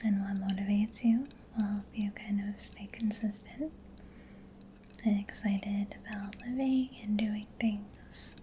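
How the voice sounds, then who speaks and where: A woman speaking in a soft, breathy whisper, gentle and soothing, in a close-miked ASMR personal attention roleplay as a mechanic. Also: medium pitch, little pitch movement, slow pace, slight background noise. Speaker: ASMR roleplayer playing a robot repair mechanic. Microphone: close microphone.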